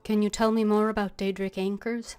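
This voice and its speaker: A woman's voice: medium pitch, little pitch movement, a little fast, darkish tone. Woman game streamer with music behind. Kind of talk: reading game dialogue aloud, casual commentary.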